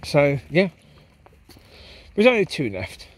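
A man's voice: on the high side, huge pitch swings, slow pace. Rambling man on a night dog walk. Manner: rambling, reflective, calls out loudly to his dogs. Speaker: vlogger walking his dogs. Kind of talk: casual walk-and-talk monologue. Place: park path at night, outdoors. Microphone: handheld camera while walking.

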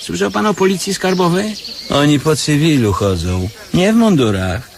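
An actor playing a shrewd, condescending scholar fallen among beggars, with mock-learned speech, in a radio comedy drama. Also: male voice, on the high side, big pitch swings, moderate pace, gravelly, slight background noise. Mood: conspiratorial. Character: shrewd male scholar-beggar, condescending, conspiratorial know-it-all.

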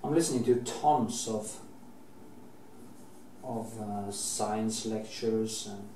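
Slow, mellow Norwegian-accented man. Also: fairly low pitch. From Norway. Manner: rambling, restarting, swears casually. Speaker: painting teacher. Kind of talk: painting demonstration. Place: painting studio, indoors. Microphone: camera mic, at a distance. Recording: roomy, distant, faint background hiss.

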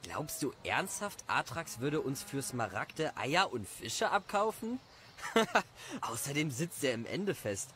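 Casting: actor as easygoing village dad, fairly high animated voice, casual, a bit defensive, faint noise. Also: male voice, takes it slowly, heavily acted. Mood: skeptical. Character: easygoing young village father and protector.

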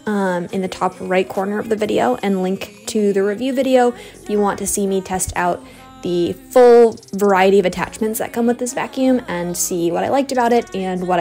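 A woman's voice: medium pitch, normal speed, darkish tone. A young American woman giving a casual voice-over narration as a cleaning vlogger. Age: young adult. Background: background music.

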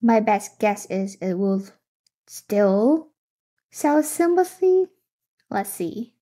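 Young woman, tech tutorial host; slow, easygoing delivery, talking to a webcam indoors. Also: medium pitch, big pitch swings, mellow. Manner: thinking aloud, reacting to results. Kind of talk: casual screen-share tutorial. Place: indoor room.

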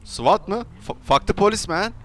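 A man's voice: high voice, animated, moderate pace, fairly bright tone, a little hiss or hum. A young man doing joking, slangy, mock-polite banter in improvised video game roleplay. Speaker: gaming video creator.

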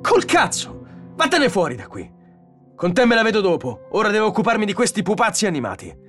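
A male narrator acts out a hot-headed space engineer in a science fiction audiobook. He shouts in a raised, strained-high pitch, scornful and crude, swinging widely in tone. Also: wildly swooping pitch, brisk, faint music behind, full character voice. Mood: furious. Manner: roaring, shouting, sarcastic. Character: hot-tempered, foul-mouthed space station engineer man.

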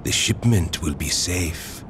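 An actor playing an eerily calm gang enforcer in a video game, with a softly threatening manner. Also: male voice, sits low, takes it slowly, background noise. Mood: calm assurance. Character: sinister, eerily calm male gang enforcer, scarred, unhurried.

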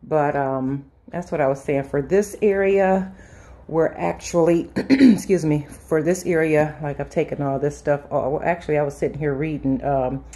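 A woman vlogger talking casually to her viewers in a halting, unscripted way, often circling back on herself as she shows her house. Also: sits low, dark tone. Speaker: home and lifestyle vlogger. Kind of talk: casual chat to viewers during a house tour. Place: inside a house, walking between rooms and sun porch.

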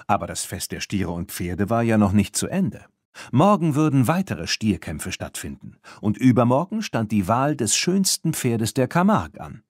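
A man reads a children's story as an audiobook narrator. His voice sits fairly low and has a husky grain, and he tells the tale expressively with very varied intonation. The sound is dry and close. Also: darkish tone, no room sound.

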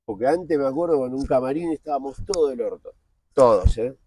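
A man in his forties with a Buenos Aires accent, a guest talking candidly outdoors in a park, blunt and slangy, often halting and restarting. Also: mid-range voice, lively intonation, warm tone, a little hiss or hum. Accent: Buenos Aires Spanish. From Argentina. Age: 40s. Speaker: guest, TV producer. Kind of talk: candid casual interview.

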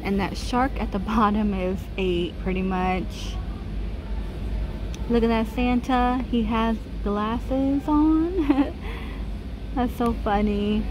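A woman vlogger chatting casually as she films a store walkthrough on a handheld phone, reading out prices and laughing softly. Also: on the high side, slow, noisy background. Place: large retail store, indoors. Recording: store music over the voice. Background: holiday music playing in the store.

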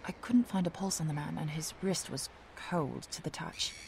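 An actress playing an ambitious young research scientist in an audio drama, precise, formal and self-important. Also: female voice, low voice, normal speed, vocal fry, darkish tone, slight background noise. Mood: uneasy. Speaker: actress, lead narrator of the episode. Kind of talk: scripted audio drama, first-person narration and dialogue.

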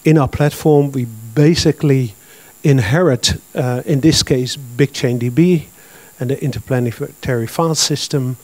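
A man in his fifties with a Dutch accent presents to a conference crowd, speaking plainly with many uh and um fillers. His voice sits at an ordinary middle pitch. The handheld mic gives a dry, close sound. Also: normal speed, darkish tone, no echo. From Netherlands. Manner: plain, matter-of-fact, with frequent uh and um. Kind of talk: business presentation. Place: large conference hall with audience. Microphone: handheld microphone.

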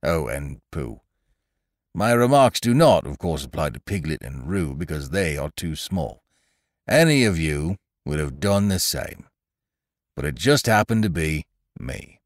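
Male reader as doleful, pompous old donkey for a storybook, very low, heavy delivery, close dry sound. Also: big pitch swings, a little slow, no echo, heavily acted. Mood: self-important. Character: gloomy, self-important old male donkey.

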